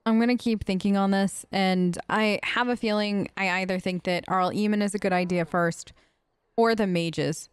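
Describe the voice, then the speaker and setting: A woman's voice: medium pitch, steady tone, normal speed, clean tone, no echo. A woman hosting a role-play let's play, improvising conversationally in character at a close microphone. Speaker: gaming host.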